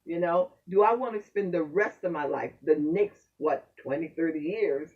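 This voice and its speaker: A man's voice: fairly high pitch, normal speed, distant mic, highs cut off. An older man hosting a casual faith conversation, affirming and preacherly.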